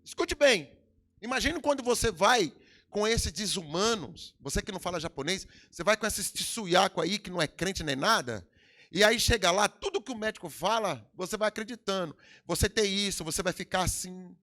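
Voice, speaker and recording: A man's voice: sits high, expressive, moderate pace, bright, forceful tone. A middle-aged Brazilian man with a Brazilian Portuguese accent, preaching a sermon in a church with emphatic swells and sudden drops. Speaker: pastor. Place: church hall.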